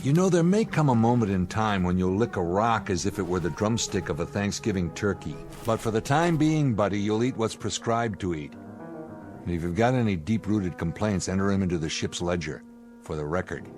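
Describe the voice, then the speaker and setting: An actor playing a gruff, practical spaceship commander in an audio drama, speaking in a firm, commanding way. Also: male voice, on the low side, expressive, fairly quick, darkish tone, soft background music, close to an everyday voice. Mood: irritated, stern.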